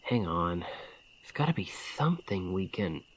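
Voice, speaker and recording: Slow, low-voiced bandit leader acted by a man. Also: sing-song, slight background noise, low-quality recording. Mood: pondering. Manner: colloquial, deliberate, conspiratorial. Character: gruff but caring male bandit leader, scheming, informal. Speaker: voice actor in audio roleplay. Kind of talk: ASMR fantasy roleplay acting.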